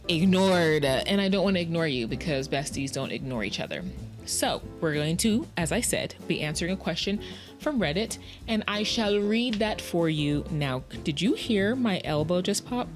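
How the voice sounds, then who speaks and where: Chatty low-voiced woman podcast host. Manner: chatty, self-deprecating, laughs often. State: tired in the evening. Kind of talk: casual solo podcast chat. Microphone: podcast mic, close.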